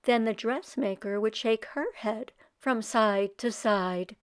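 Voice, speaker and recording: A woman narrating a children's storybook expressively, with deliberate dramatic emphasis. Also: on the high side, clean tone. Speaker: read-aloud storyteller for children.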